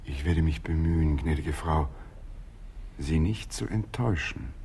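An actor in a radio drama playing an excitable young fanatic, hectoring yet servile. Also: male voice, rumbling low, measured pace, vocal fry, heavily acted. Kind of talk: theatrical radio drama acting.

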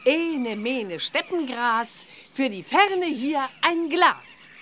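Relaxed witch mother, high animated voice, children's radio play, faint noise behind. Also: drawn-out words, full character voice. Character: easygoing witch mother on holiday. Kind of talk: German children's audio play acting.

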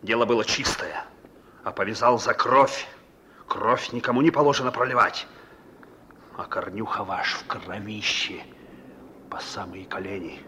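An actor playing a young reformed thief learning to drive, defiant and hot-tempered, gruff and slangy, in a Russian radio drama. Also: male voice, on the low side, bright, forceful tone, slight background noise. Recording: old radio play recording.

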